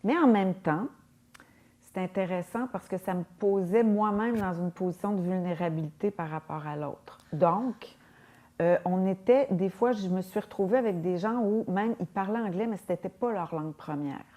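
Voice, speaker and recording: A woman's voice: medium pitch, normal speed, mellow. A native French-speaking woman answering on a panel, casual and hesitant, repeating words as she thinks. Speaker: panel guest.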